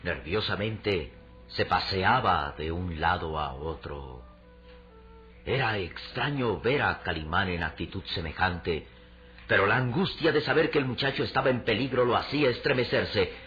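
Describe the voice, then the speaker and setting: A man's voice: low voice, animated, normal speed, fairly bright tone. A man narrating a radio serial from a script in a solemn, theatrical storytelling style. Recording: dull radio recording with music underneath.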